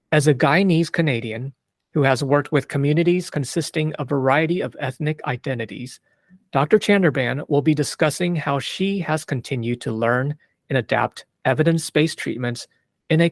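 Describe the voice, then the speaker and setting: A man hosting a psychology podcast, reading a measured, earnest introduction and asking his guest questions. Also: medium pitch, creaky, no echo, studio quality. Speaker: podcast host. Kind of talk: scripted intro then interview questions.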